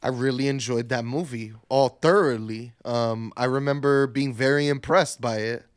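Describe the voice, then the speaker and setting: A man's voice: mid-range voice, normal speed, clean tone. A man co-hosting a podcast, chatting casually about films in an informal, sweary way. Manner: informal, profanity-laced. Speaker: podcast co-host.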